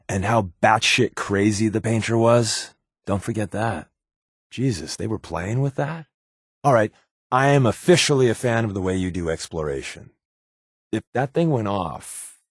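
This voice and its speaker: An American actor playing a sardonic, wisecracking secret agent companion in a video game, with a wry, offhand delivery. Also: male voice, lowish voice, husky, no room sound, clean studio sound.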